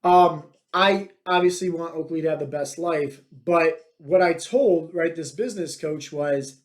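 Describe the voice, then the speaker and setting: A man's voice: fairly high pitch, unhurried. A man in his thirties, a fitness coach hosting his own podcast, talking bluntly and with many fillers in a motivational monologue. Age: 30s. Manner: energetic, filler-heavy, many "like" and "right?".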